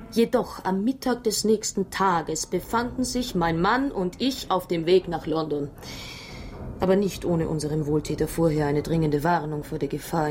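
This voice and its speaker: An actress in a German radio drama playing an anguished wife who tells her story in a dramatic, halting way. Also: mid-range voice, a little mellow, lightly acted. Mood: tense. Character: anguished Italian wife recounting a murderous secret society's threat.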